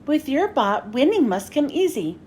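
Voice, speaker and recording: A woman's voice: high voice, big pitch swings, normal speed, a little mellow, a little hiss or hum. A woman voicing a self-assured male robotics professor from a storybook, formal and challenging.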